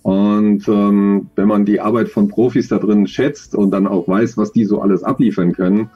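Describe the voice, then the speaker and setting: A man's voice: fairly low pitch, steady tone, normal speed, warm tone, husky, highs cut off. A man in his forties, an audio drama author, answering interview questions on a video call in a thoughtful, rambling, self-deprecating way.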